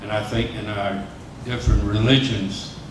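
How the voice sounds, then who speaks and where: This is a man on an interfaith panel with a low, flat voice and an earnest, steady delivery. He is recorded from a distance in a reverberant hall with clear noise. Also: normal speed. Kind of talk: unscripted panel discussion. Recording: echoey hall from far off, noisy.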